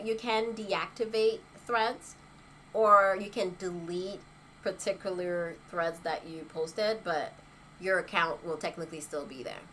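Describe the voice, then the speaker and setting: A young woman chats on a livestream with a bright, forward voice, laughing often between rhetorical questions to her viewers. Her pitch sits in the middle for a woman, with a faint hiss of background noise under the talk. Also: low-quality recording. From Haitian heritage. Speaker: lifestyle influencer livestreaming. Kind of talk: casual livestream chat reading comments.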